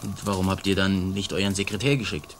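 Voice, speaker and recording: An actor playing a boastful, swaggering Spanish colonial army sergeant in a theatrical, declamatory radio play style. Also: male voice, low voice, level tone, fairly quick, a little hiss or hum, natural voice. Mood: courteous, grandiose.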